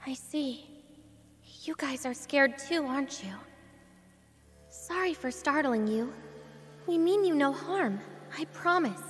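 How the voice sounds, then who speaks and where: A woman voicing an earnest, feisty young heroine in a video game, expressive in delivery. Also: high voice, slow, long pauses. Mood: gentle. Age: young adult. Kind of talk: video game cutscene acting. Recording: long hall reverb, music underneath.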